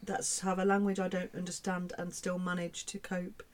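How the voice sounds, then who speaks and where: Effusive lower-voiced woman podcast co-host. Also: monotone, normal speed. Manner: warm, effusive thanks, hesitant fillers. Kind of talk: casual chat.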